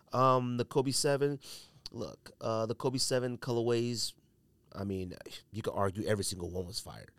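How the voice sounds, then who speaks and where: A man's voice: on the low side, dry recording. A man hosting a sneaker podcast, giving casual, opinionated commentary in an emphatic, slangy way.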